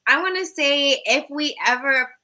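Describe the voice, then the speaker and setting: A woman's voice: high-pitched, a little slow, sharp tone. A woman in her mid twenties co-hosting a podcast with casual, informal and playful talk. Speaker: podcast co-host. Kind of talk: casual chat.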